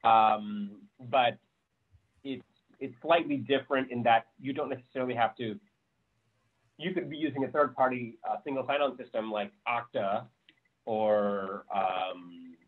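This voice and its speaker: A man's voice: mid-range voice, a little slow. A man giving a casual technical presentation on a video call, loose and rambling, with many fillers. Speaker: meetup presenter. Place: home room on a video call. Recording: thin phone-like call audio.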